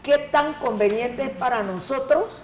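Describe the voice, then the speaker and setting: Slow, deliberate Colombian woman. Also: sits high, slight background noise. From Colombian Pacific coast. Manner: measured, emphatic, repeats key words. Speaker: ethnic education coordinator. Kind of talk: interview answers. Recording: echoey room, faint ambience.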